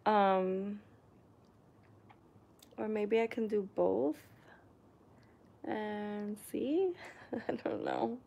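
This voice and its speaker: Slow-talking chatty young woman. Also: medium pitch, lively intonation, vocal fry, darkish tone, lo-fi sound. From New York. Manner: chatty, hesitant, frequent ums and laughs. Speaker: vlogger reading live chat comments. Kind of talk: casual live stream chat. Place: her living room. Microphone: camera on a tripod.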